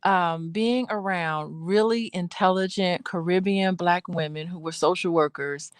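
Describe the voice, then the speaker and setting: A woman co-hosting a casual chat, opinionated and expressive, laughing often as she talks. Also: fairly low pitch, unhurried, clear, resonant tone, no echo, clean studio sound. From United States. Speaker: co-host.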